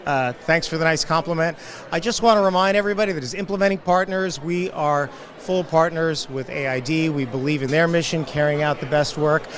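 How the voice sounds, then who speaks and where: A man's voice: a little high, a little fast, a bit bright. A middle-aged man speaking earnestly and confidently as a guest at a conference booth. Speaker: guest, development company executive. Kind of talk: conference interview. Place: conference hall booth, indoors. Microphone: handheld mic held by interviewer.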